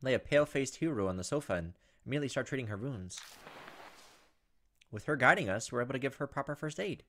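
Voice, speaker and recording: A man's voice: medium pitch, lively intonation, quick pace, fairly bright tone, slight background noise. A man hosting a gameplay video, reading visual novel text aloud with informal, joking asides.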